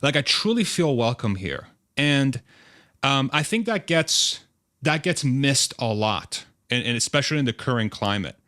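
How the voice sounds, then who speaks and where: A man in his thirties with a clear, smooth voice of medium pitch. He speaks as a podcast guest, casual, earnest and enthusiastic. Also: very animated, a little slow, bright, forceful tone. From Spain, then United States from age twelve. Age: 30s. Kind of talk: casual interview chat.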